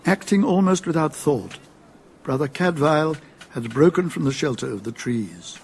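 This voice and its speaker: An older English actor playing the narrator of a medieval radio drama, telling the tale in a measured, gravelly voice. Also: male voice, fairly high pitch, animated, a little hiss or hum. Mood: measured storytelling.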